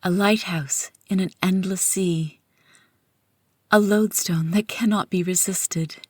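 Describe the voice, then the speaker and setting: A woman playing a fanatical, devout servant of a psionic master, telling the tale with reverent, deliberate intensity in a dramatic first-person story. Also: on the low side, fairly even intonation, husky, no room sound, clean studio sound. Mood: cold, reverent. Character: fanatical mind-controlled female psionic servant, devoutly worshipping her master.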